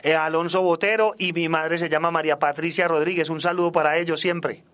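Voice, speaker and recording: A man's voice: fairly high pitch, fairly even intonation, brisk, a bit bright. A man in his forties from Australia, a native English speaker, chatting casually as a musician guest in a radio interview. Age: 40s. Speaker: salsa singer and trombonist, guest.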